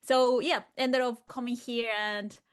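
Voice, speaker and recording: A woman's voice: fairly high pitch, fairly even intonation, unhurried, clear, resonant tone. Chatty woman. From Mexico. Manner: chatty and enthusiastic, with laughs and restarts. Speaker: guest sports nutritionist. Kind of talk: casual interview answers.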